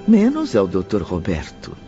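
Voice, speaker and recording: A man's voice: low-pitched, huge pitch swings, a little slow. A man narrating a radio drama from a script in a storytelling role. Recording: muffled band-limited audio with music behind.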